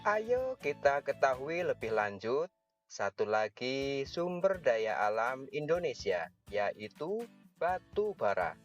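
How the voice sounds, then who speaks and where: A male teacher giving an online lesson, speaking slowly and patiently to children. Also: mid-range voice, big pitch swings, soft background music. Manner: slow, patient, talks directly to children.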